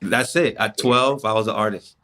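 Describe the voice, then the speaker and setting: A middle-aged man from the Dominican Republic, a painter guesting on a podcast, telling stories about his childhood in a relaxed, reflective way. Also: fairly low pitch, normal speed. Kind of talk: casual storytelling interview.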